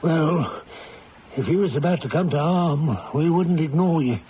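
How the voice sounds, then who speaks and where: Superstitious rustic innkeeper for radio mystery male actor: highish voice, unhurried, foreboding, muffled old broadcast. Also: lightly acted. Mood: ominous. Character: superstitious village tavern keeper, ominous and folksy. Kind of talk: radio drama acting. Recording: dull lo-fi old radio, faint noise.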